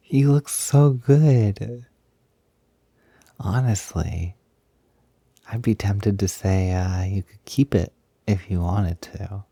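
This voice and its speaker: A man playing an affectionate, smitten young roommate in an intimate roleplay audio, talking in a soft, breathy, gently hesitant and playful way. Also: fairly low pitch, drawn-out words, pauses often, no echo, full character voice. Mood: smitten, delighted. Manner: gentle, hesitant, giggly, softly doting. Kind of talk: intimate roleplay audio, casual improvised-sounding chat.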